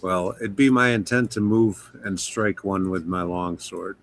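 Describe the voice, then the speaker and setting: A man with a low, deep voice talks casually as a player in a tabletop game. He is on an online group call, with a little noise in the sound. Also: unhurried, a bit bright.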